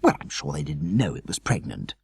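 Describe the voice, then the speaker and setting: A man's voice: rumbling low, huge pitch swings, a little fast, creaky, husky, dry recording, clean studio sound, voice pushed down, heavily acted. A man voicing an anxious, easily distressed mother who gushes over small creatures in a children's audiobook. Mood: mild, reasonable.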